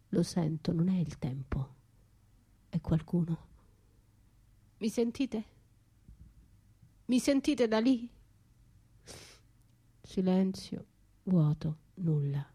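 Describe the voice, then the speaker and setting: A woman's voice: fairly low pitch, warm tone. An actress playing a battered, trapped, desperate woman in a theatrical radio monologue, speaking in poetic fragments and repeated words that lapse into whispers.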